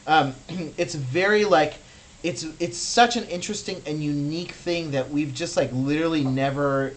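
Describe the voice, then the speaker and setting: A man's voice: on the high side, a bit bright. Chatty American man, filler-heavy. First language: Russian. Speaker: virtual gallery tour guide. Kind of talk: casual guided tour.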